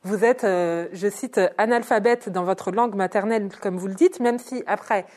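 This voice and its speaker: Woman host asking questions, lots of euh, middle pitch. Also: normal speed, a little mellow. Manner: summarizing, hesitant fillers. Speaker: interviewer. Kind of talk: radio interview.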